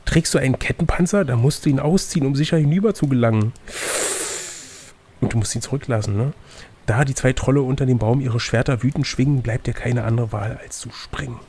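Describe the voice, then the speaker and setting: A man's voice: medium pitch, brisk, a little mellow, slightly rough. A man reading a fantasy gamebook aloud as game master, with casual, teasing asides.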